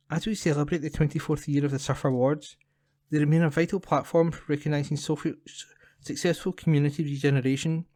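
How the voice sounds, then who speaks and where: A man's voice: on the high side, mellow, dry recording, clean studio sound. A man reading scripted local news as a volunteer for an audio newspaper. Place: at home, dry room.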